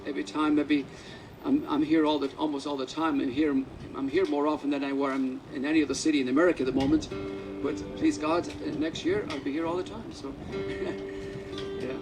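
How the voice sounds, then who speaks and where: A man's voice: a little high, level tone, a little fast, distant mic, music in the background, low-quality recording. An Irish man whose first language is Irish Gaelic, a musician telling rambling anecdotes at a press conference. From Ireland.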